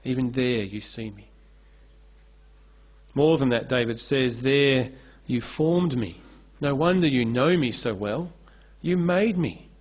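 Pastor preaching at a lectern. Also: male voice, mid-range voice, slow pace, husky. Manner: earnest, gestures freely while preaching at a lectern. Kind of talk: sermon. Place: church stage with curtain backdrop. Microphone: lectern-mounted microphone. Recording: dull lo-fi sermon recording.

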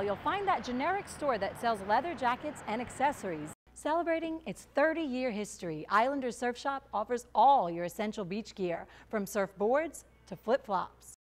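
A woman's voice: a little high, a little hiss or hum. Young woman, polished TV news reporter. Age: young adult. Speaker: TV reporter and host. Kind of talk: polished scripted broadcast narration.

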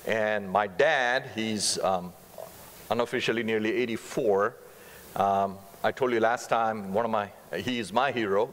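Fast-talking Indian man preaching in a hall. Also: mid-range voice. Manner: fast-talking, warm humor, earnest. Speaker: guest missionary speaker. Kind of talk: sermon. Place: church stage, large sanctuary. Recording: long reverb of a church hall.

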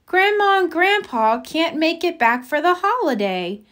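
A woman reading the part of a gentle, caring mother turtle in a children's storybook, soothing and expressive. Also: extremely high pitch, unhurried, pitched above the performer's own voice, heavily acted. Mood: disappointed.

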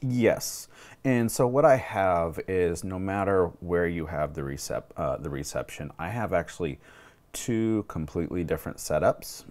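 A man's voice: low voice, animated, measured pace, darkish tone. A man in his thirties on a lapel mic indoors, casually talking a client through things in an informal, explanatory way as a DJ. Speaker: wedding DJ consulting with clients. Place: home room at a table, indoors.

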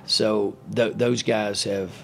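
Gravelly low-voiced coach at a press table. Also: male voice, little pitch movement, a little hiss or hum. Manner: halting, many fillers and restarts, matter-of-fact. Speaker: basketball coach. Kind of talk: postgame press conference. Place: press room in front of a sponsor backdrop. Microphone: table mic on a stand.

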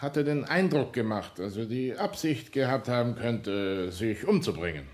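A man's voice: mid-range voice, animated, moderate pace, a little mellow, natural voice. An actor playing a probing, sceptical police inspector in a radio play, terse and commanding as he interrogates. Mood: suspicious, pressing.

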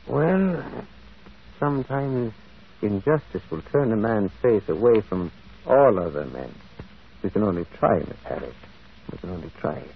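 An actor playing a gentle, thoughtful priest detective in an old radio drama, speaking in a hesitant, stammering, musing way. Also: male voice, on the low side, very animated, a little slow, creaky, full character voice. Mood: earnest. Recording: tinny, band-limited old radio broadcast.

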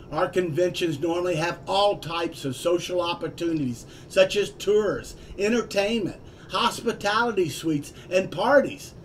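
An older man speaks in a high, lively voice with plenty of swing. He reads a promotional script with energy over a video call from his home office, a faint rumble underneath. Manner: enthusiastic, lively delivery. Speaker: club vice president.

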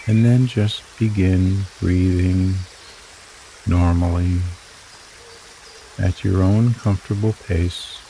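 A man guiding a relaxation meditation in slow, soothing, drawn-out phrases. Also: low-pitched, steady tone, creaky, mellow, slight background noise, low-quality recording. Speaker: meditation guide. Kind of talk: guided meditation narration.

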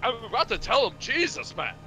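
Male game commentator, very bright forceful voice with lively swings, sweary and exasperated, music behind. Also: extremely high pitch, a little fast. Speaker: voice actor in a group video game dub playthrough. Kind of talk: casual joking game commentary.

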